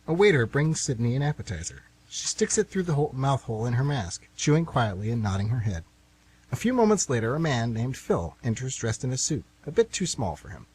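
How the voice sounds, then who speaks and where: A man's voice: medium pitch, sing-song, fairly quick, darkish tone, breathy edge, low-quality recording. A man narrating and announcing a scripted radio theatre play.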